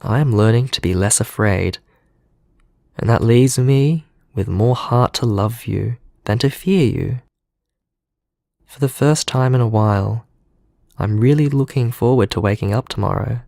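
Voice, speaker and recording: A man acting a gentle, earnest man healing from trauma in an intimate audio drama monologue. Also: medium pitch, unhurried, vocal fry, no room sound, studio quality. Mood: tender, vulnerable. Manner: earnest, confiding, reflective.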